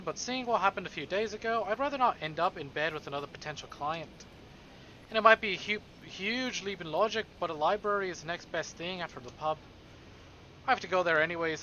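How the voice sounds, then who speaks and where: A man reading a visual novel aloud on a live stream, with casual, excitable, foul-mouthed reactions. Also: fairly high pitch, highs cut off, slight background noise.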